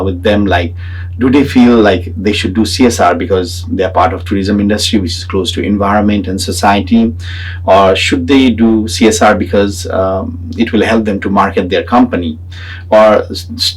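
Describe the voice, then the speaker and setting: A man with a Nepali accent explaining his research as a graduate student in a halting way, pausing often as he talks. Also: fairly low pitch, breathy edge, low-quality recording. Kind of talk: semi-prepared explanatory talk about own research.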